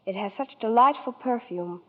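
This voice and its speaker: A woman's voice: fairly high pitch, moderate pace. A young woman from New York speaking as a guest in a scripted 1940s radio interview and advertisement. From New York, United States. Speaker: guest starlet endorsing a product. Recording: thin phone-like 1940s radio recording.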